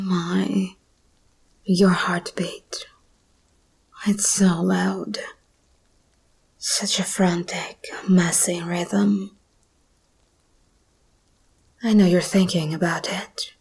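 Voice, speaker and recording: Breathy, slow-whispering predatory monster girl, played by a woman. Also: fairly low pitch, a little mellow, heavily acted. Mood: teasing menace. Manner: slow, teasing, predatory purr. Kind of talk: ASMR roleplay, intimate monologue.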